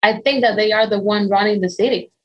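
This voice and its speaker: A woman's voice: mid-range voice, fairly even intonation, a little fast, muffled recording. A woman in her forties from the Dominican Republic with a Dominican Spanish accent, telling her story in an interview, earnest and full of ums and restarts. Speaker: interview guest. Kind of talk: conversational interview.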